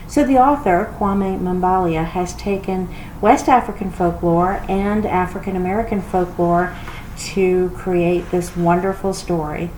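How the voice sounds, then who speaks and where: A woman giving an expressive storybook read-aloud, like a children's library reader. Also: fairly low pitch. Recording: roomy echo with clear background noise.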